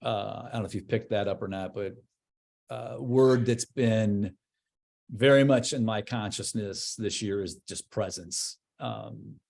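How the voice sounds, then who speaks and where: A man's voice: on the low side, a little slow, vocal fry, a little mellow. A man in his fifties who is a guest in an interview. He speaks thoughtfully and casually, often searching for words and trailing off. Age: 50s. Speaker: church leader guest.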